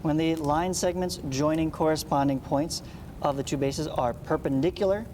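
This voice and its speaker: A man's voice: fairly high pitch, normal speed, a little mellow. Male math teacher over background noise. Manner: explanatory, frequent check-in tags like "right?" and "okay?". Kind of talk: read-along lesson with asides.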